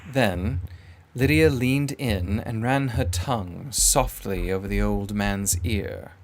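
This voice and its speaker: A man reading dramatic first-person fiction in a deliberate, literary manner. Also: fairly low pitch. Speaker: audiobook narrator.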